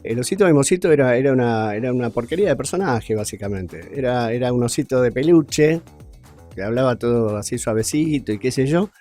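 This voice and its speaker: A man's voice: medium pitch, expressive, a little fast, dark tone. A man with an Argentine accent recalling radio comedy work in a casual, blunt, colloquial way. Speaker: former radio comedy performer. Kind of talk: casual recollection in an interview. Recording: music under the voice.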